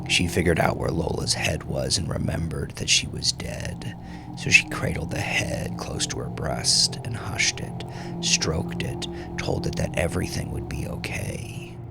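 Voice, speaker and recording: A man reads dramatic horror fiction in a deep voice with plenty of gravel. His delivery is slow, hushed and intense. Music plays clearly underneath him.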